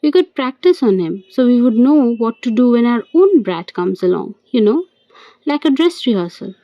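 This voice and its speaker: A playful young fiancé in an audio play as a woman performs him. The voice is fairly high and mellow, with slow, wry, cajoling delivery, recorded dry. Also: expressive, dry recording, highs cut off, lightly acted. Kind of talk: amateur audio play reading.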